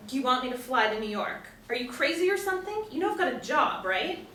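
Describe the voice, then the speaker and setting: A woman acting a sharp-tongued woman, echoey room. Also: sits high, sing-song, quick pace. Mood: incredulous. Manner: sarcastic, blunt. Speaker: actress at a screenplay table reading. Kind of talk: script table reading. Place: indoor room, seated. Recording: echoey room, distant mic, faint noise.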